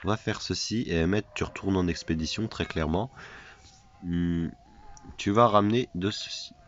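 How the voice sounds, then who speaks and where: A man's voice: low-pitched, moderate pace, fairly bright tone, dull audio. A man with a cold giving chatty, self-mocking commentary over a survival video game, often repeating his phrases. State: has a cold. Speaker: gaming YouTuber. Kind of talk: casual let's play commentary.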